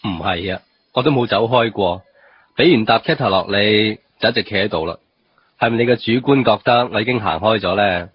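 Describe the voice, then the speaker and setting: A man acting a dry, chiding office boss in a radio drama, deadpan, with a Hong Kong Cantonese accent and English words mixed in. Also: on the low side, fairly quick, lo-fi sound, a little hiss or hum, natural voice.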